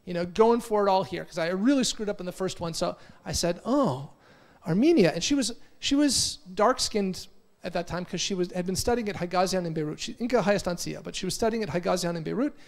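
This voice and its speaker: An American man in his forties giving an energetic motivational conference talk, telling stories and often repeating words. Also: sits high, a little fast, vocal fry. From United States. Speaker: conference speaker. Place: conference hall.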